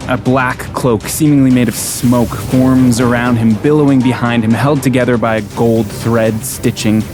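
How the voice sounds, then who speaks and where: Man narrating fantasy scenes over music. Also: medium pitch. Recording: prominent music bed behind narration.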